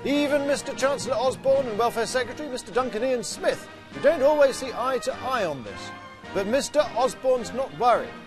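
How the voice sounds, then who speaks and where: A man narrating a mock public information film in a cheery, deadpan satirical manner. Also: very high voice, a little fast. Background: music.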